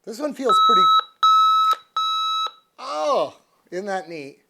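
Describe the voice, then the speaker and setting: A man's voice: very high-pitched, huge pitch swings, slow pace, creaky, very noisy. An American man in his sixties chatting casually and unscripted as he reviews a product, thinking aloud in a folksy, easygoing manner. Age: 60s. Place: living room with couch, indoors.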